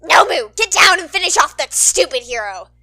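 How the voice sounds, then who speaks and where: A woman voicing a deranged male villain leader who shouts in imperious rage. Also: very high-pitched, very animated, moderate pace, voice pushed up, heavily acted. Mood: furious, baffled.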